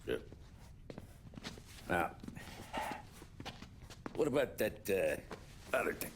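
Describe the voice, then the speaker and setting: An actor playing a volatile, ruthless mob boss in a video game, sardonic and gravelly, halting and then explosive. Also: male voice, sits low, very animated, noisy background, exaggerated voice. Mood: smug. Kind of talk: cinematic game voice acting. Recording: music behind the voice.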